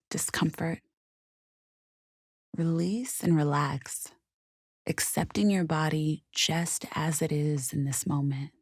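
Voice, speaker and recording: A woman guiding a meditation in a soothing, unhurried, softly spoken way with gentle pauses. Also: low-pitched, breathy edge, dry recording, clean studio sound. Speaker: meditation guide. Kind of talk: guided meditation narration.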